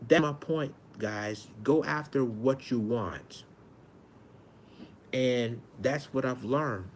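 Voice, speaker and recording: A man in his forties from Arkansas with a high-pitched voice, talking earnestly to his viewers in a casual monologue, often repeating and restarting his phrases. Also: slow pace, a little hiss or hum. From Arkansas, United States. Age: 40s. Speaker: vlogger.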